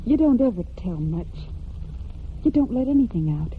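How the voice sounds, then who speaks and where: An actress in a 1940s American radio drama playing an earnest, quietly tender young woman of a farm household, direct and sincere. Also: on the high side, sing-song, normal speed. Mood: probing. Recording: tinny, noisy 1940s radio broadcast.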